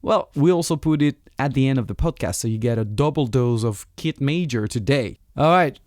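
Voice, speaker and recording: A French-accented man hosts a podcast in a lively, chatty manner, often restarting his sentences. His voice sits at a middle pitch and sounds very dry and close, with no room around it. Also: a little fast, no echo. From France. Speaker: podcast host. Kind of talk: casual interview chat.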